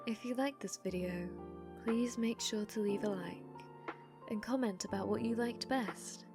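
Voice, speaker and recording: A woman's voice: medium pitch, measured pace, music in the background. A woman speaking as an ASMR creator, delivering a scripted sign-off to listeners. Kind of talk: scripted channel outro.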